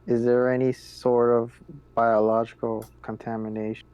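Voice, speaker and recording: A man's voice: medium pitch, flat delivery, normal speed, darkish tone, clean tone. A man talking as a player in a tabletop sci-fi roleplaying game, speaking for his character. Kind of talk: casual in-game roleplay talk.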